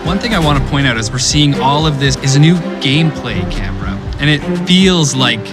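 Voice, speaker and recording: A man's voice: medium pitch, lively intonation, a little fast. A man hosting a promotional interview, asking questions and moving between subjects in an upbeat way. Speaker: interviewer, host. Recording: loud music behind voice.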